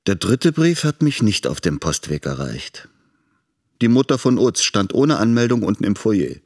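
A self-important, calculating powerful man in an audio drama. His voice sits very low, and he tells his story in a measured, controlled monologue. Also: very animated, slightly rough.

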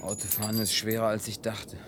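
An actor dubbing an easygoing man in a film who talks in a dry, low-key way with wry humor. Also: monotone, brisk, very noisy, natural voice. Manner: dry, low-key, at times mock-formal like a waiter. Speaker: survivor in a film, dubbed.

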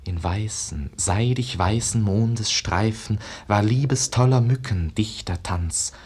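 An actor playing a dreamy, rapturous young painter's disciple, declaiming verse in a hushed, lyrical way in a 1950s radio drama. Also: male voice, lowish voice, a little slow, husky. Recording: muffled-edged 1950s radio play recording.

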